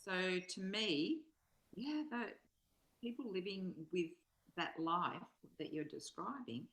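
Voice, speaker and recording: A woman with a low-pitched voice speaks in a measured, reflective manner, as in a spiritual study session. The room adds a noticeable echo around her words. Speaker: co-presenter reading and discussing a spiritual text. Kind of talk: slow read-aloud and reflective study conversation. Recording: roomy, echoing sound.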